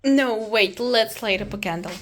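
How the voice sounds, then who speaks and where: A woman's voice: on the high side, moderate pace, loud music behind the voice. A young woman talking casually and candidly while busy with what she is doing. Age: young adult. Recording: faint background noise, clicks.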